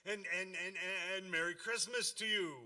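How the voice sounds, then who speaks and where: An actor playing an excitable, blustery middle-aged father in a comedic radio play, eager and proud as a child, often repeating words. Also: high-pitched, normal speed. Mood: eager, flustered. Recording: distant microphone.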